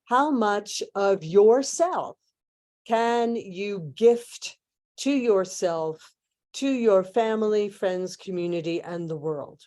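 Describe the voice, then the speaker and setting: A woman leading a guided meditation in measured, hushed phrases with long pauses, like a spiritual transmission. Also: mid-range voice.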